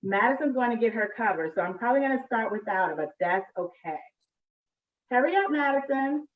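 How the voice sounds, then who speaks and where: A woman's voice: on the high side, animated, brisk. A woman hosting a live children's read-aloud, chatting to families at storytime. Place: indoors at home, on a live video call. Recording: echoey room, distant muffled mic.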